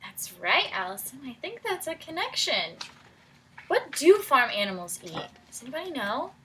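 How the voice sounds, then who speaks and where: A woman reading a picture book aloud to children as their teacher, pausing to prompt them with questions in an encouraging way. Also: sits high, big pitch swings, noisy background. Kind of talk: read-aloud storytelling with questions to young listeners.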